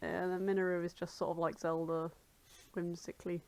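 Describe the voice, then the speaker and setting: A woman's voice: lowish voice, level tone, a little fast, a little mellow. A woman chatting casually with fellow readers. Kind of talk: casual chat.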